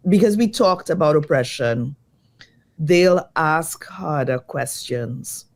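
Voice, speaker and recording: A woman design educator, slightly croaky from jet lag, answering interview questions in a lively, thoughtful way. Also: deep voice, slow pace. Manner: lively, thoughtful, many fillers.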